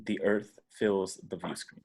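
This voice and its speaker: A man reading screenplay action directions aloud in a deliberate, dramatic way. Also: low-pitched, steady tone, a little mellow, breathy edge. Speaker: stage directions reader.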